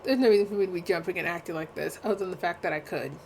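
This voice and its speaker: A woman's voice: lowish voice, rapid-fire, vocal fry, a little mellow, slight background noise. A woman livestreamer giving casual, wry, sassy reaction commentary while gaming. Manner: sassy, wry, dry asides. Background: faint game music.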